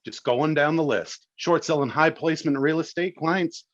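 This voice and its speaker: A man's voice: on the high side, normal speed, dry recording, natural voice. A man acting a brash, swaggering businessman in a screenplay table read, on a dull, lo-fi microphone. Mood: brash, aggrieved. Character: brash, foul-mouthed businessman telling a war story.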